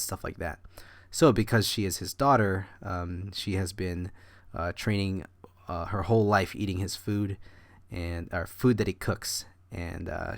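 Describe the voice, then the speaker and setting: A man's voice: low voice, no room sound. A man who is a little sick with a cough, casually talking over a drawing time-lapse in a rambling, meandering way as the channel's host.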